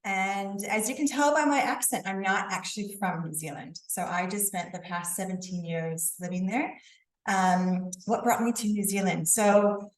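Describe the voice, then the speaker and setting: A woman's voice: medium pitch, steady tone, normal speed. A woman from the United States giving a casual talk to students about a university, chatting and asking the audience questions. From United States (St. Louis, Missouri). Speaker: university recruitment and admissions officer. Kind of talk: informal presentation to a student club. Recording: roomy sound, mic at a distance.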